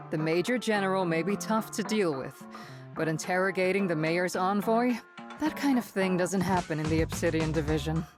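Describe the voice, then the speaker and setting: Woman acting composed, low-voiced military colonel over music. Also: close to an everyday voice. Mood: grave, restrained. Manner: formal, measured military briefing delivery. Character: composed, weary senior female military colonel, gruff but protective. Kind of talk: English-dubbed video game voice acting.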